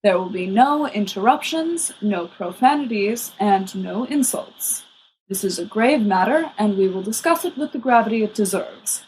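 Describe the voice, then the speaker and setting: A woman voicing a serene, courteous old male wizard headmaster in an audiobook, measured, formal and gently firm. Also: mid-range voice, expressive, a little hiss or hum, natural voice, poor audio. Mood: gentle but firm. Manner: measured, formal, politely wordy. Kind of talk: audiobook character reading.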